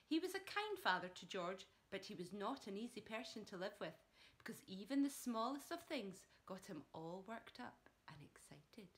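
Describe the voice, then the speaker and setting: A woman's voice: medium pitch, big pitch swings, normal speed, a bit bright. A woman reading a children's story aloud like a primary teacher, with dramatic emphasis, speaking directly to young listeners.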